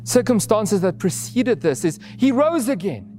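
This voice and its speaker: A man whose first language is Afrikaans, preaching an earnest sermon with restarts and soft laughs. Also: high voice, sing-song, measured pace, faint music behind.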